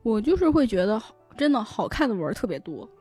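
Woman, high voice, casual guest chat, animated explainer, frequent check-in tags, music behind. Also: fast talker, breathy edge. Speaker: guest, novel recommender and editor.

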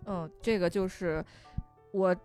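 A woman's voice: on the low side, slow pace, smooth, soft background music. A woman co-hosting a podcast, chatting casually like an enthusiastic, self-deprecating fan. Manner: animated, giggly, self-deprecating fan talk. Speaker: podcast co-host. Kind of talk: casual chat.

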